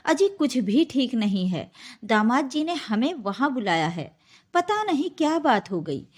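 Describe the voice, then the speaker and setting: Nervous middle-aged mother, voiced by a woman, high-pitched. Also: sing-song, measured pace, husky, exaggerated voice. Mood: flustered. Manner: flustered, pleading.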